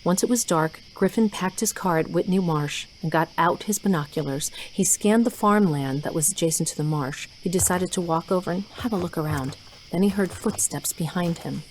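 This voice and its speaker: A woman's voice: lowish voice, moderate pace, a little hiss or hum, low-quality recording. A woman reading scripted story narration for an audio drama.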